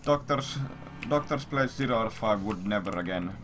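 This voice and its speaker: Noisy stream audio with keyboard clatter, and a man with a fairly low voice talking casually. He rambles like a streamer chatting to viewers, swearing freely and circling back to repeat his blunt remarks. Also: expressive, normal speed. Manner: profane, blunt, repetitive. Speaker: livestreamer.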